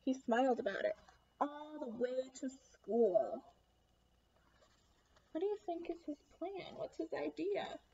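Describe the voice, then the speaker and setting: Young lady doing a kids storytime read aloud, really high voice, muffled homemade recording. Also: lively intonation, slow, long pauses, dark tone. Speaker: children's read-aloud host. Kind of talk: expressive picture-book read-aloud for children. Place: small room at home.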